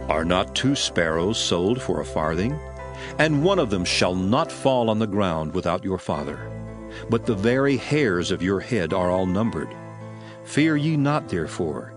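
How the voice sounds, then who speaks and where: A solemn religious master in a dramatized scripture reading. His low voice rises and falls widely as he delivers earnest, authoritative charges to his followers in measured declamation. Music plays clearly behind him. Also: big pitch swings. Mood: earnest, exhorting.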